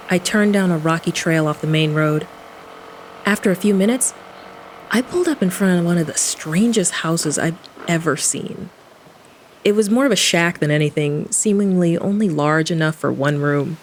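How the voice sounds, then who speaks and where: A woman's voice: fairly low pitch, slight background noise. A woman playing a wry, irreverent adventurer, narrating her story in the first person in a casual, sardonic way for an audio drama. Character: wry, irreverent female adventurer and occult investigator.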